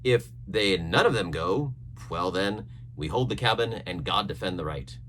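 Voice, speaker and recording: A man voicing a blunt, resolute ship's captain in an adventure storybook, speaking briskly and formally. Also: low voice, expressive, a bit bright, a little hiss or hum. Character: blunt, resolute male ship's captain planning against mutiny.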